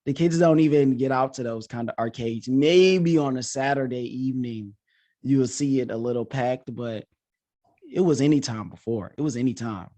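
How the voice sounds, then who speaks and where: A man's voice: medium pitch, moderate pace, dark tone. Casual, enthusiastic male podcast host. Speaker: coach and teacher.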